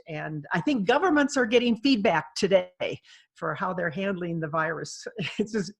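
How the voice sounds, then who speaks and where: A woman author speaking as a guest on a video call, chatty and animated, laughing often. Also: mid-range voice. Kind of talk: video call interview, conversational.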